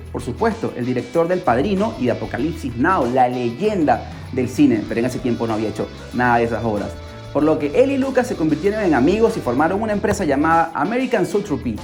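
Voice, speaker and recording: A podcast host telling a scripted story in a dramatic, excitable way, with rhetorical questions and exclamations. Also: medium pitch. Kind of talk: scripted storytelling narration for a pop culture podcast.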